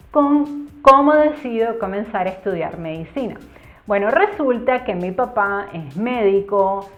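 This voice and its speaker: A young woman from Cuba shares a personal story as a YouTuber in an earnest, explanatory way, leaning on words for emphasis. Her voice comes through narrow and tinny, as if over a phone line. Faint music sits underneath. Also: mid-range voice. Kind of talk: casual storytime vlog.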